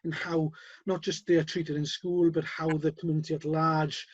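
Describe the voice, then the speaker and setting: A man with a slightly high, husky voice hosts a discussion, nudging his guests along with hesitant, filler-laden questions. His voice comes through dry and a little dull, with no room around it. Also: level tone, moderate pace. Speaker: host. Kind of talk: interview discussion.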